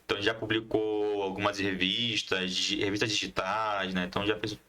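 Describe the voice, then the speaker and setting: A man's voice: fairly low pitch, level tone, brisk, pushed and bright. A man with a northern Brazilian accent explaining things as a podcast guest in casual conversation, often adding little tags to check agreement. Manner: explanatory, repeats "né" as a tag. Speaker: podcast guest, comics collective member.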